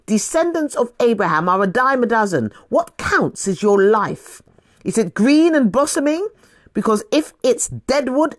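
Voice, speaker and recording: A woman voicing a fiery, scolding male desert preacher in dramatic scripture storytelling, with forceful, urgent emphasis. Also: mid-range voice, dry recording, clean studio sound, voice pushed up. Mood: scolding, indignant.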